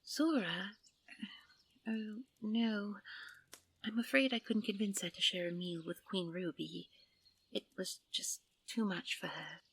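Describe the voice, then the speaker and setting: A woman playing a gentle, refined and easily flustered fairy princess in a fantasy audio roleplay, polite and soft-spoken. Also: medium pitch, steady tone, slow, exaggerated voice, rough recording. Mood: warm, teasing. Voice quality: breathy, soft. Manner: genteel, polite, stammering when nervous.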